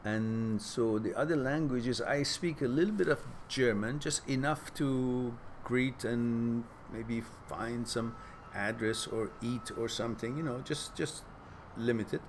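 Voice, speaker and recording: A man with a mid-range voice talks through viewer questions in an unhurried, earnest way, pausing and filling gaps as he thinks. A faint hiss of background noise sits under his voice. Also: mid-range voice. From Iran. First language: Farsi. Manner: reflective, earnest, loose pauses and fillers, laughs easily. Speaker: host reading live chat questions. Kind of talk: casual live-stream chat and advice.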